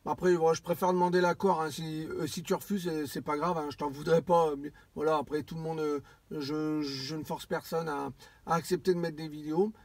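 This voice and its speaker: A man chatting casually as an amateur radio operator, halting and often repeating his phrases. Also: on the high side, little pitch movement, darkish tone, no echo. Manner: repetitive and halting, circling back over phrases. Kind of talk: casual radio contact chat.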